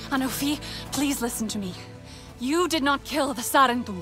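A young, determined alien woman voiced by an actress in a game cutscene. Her slightly high voice is earnest and insistent, with light music behind it. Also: normal speed, lightly acted. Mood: pleading, defiant. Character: young determined female Na'vi, last of a massacred clan. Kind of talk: dramatic video game cutscene acting.

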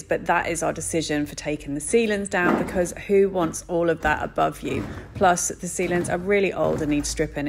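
Woman, chatty, um-filled, fry in voice, phone recording, background noise. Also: deep voice. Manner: chatty, frequent "um" and "so". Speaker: vlogger touring a house renovation. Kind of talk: casual walkthrough narration. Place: empty stripped-out house, indoors.

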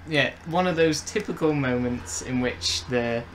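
A man's voice: medium pitch, measured pace, a bit bright. A young man in a casual review chat, searching for his words as he talks. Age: young adult. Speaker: podcast reviewer and co-host. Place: bedroom, indoors. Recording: noisy home room recording.

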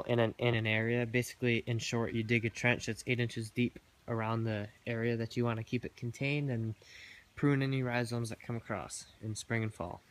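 A young man giving a casual tour of his backyard garden while filming, talking with many ums and uhs. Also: mid-range voice. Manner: halting, many ums and uhs, chuckles at own jokes. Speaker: gardening vlogger. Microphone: handheld camera mic, filming himself off screen.

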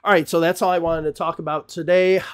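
Ranting middle-aged man, raised pitch. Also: little pitch movement, fast talker, clear, resonant tone. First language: English. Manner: emphatic, ranting, repeats words when worked up; gestures broadly with hands while talking. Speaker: English teacher and channel host. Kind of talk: opinionated commentary to camera. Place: small home studio room with bookshelves, indoors. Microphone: podcast mic on desk in front of him.

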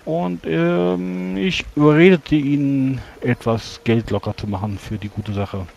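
A man roleplaying a courtly, self-important magister adventurer in a tabletop game, speaking formally and wordily with halting pauses. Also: a little high, steady tone, creaky, a little mellow, highs cut off, slight background noise. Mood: boastful. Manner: formal, verbose, halting delivery.

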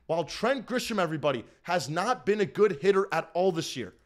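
Young guy with a higher voice, hyped recap stream, keeps saying everybody, desk mic at home. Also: animated, fairly quick. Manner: hyped, repetitive, addresses chat as everybody. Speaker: sports fan streamer host. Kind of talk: live-stream postgame recap. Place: small room at home, indoors. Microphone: desk mic in front of the face.

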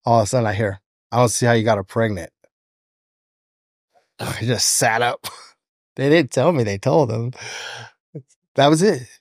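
A man's voice: medium pitch, unhurried, pauses often, no echo, clean studio sound. A man in his fifties with an American accent, telling personal stories casually as a podcast guest. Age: 50s. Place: indoor podcast studio. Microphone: close studio microphone on a stand.

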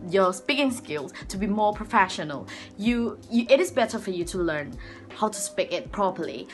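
A woman's voice: mid-range voice, fairly bright tone. A young woman from Sabah with a Malaysian accent whose first language is Malay, talking to camera in an earnest, rambling way, full of restarts, in a small room. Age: young adult. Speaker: vlogger. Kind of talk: casual talk to camera. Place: small room indoors, by a door. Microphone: front-facing camera at arm's length.

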